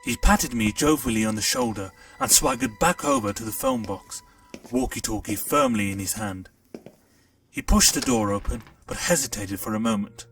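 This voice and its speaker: A man reading a first-person audiobook story with a lively, swooping delivery and a gravelly edge to his voice. He sounds set back from the mic, with faint music playing behind. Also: medium pitch, moderate pace, far from the mic. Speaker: audiobook narrator.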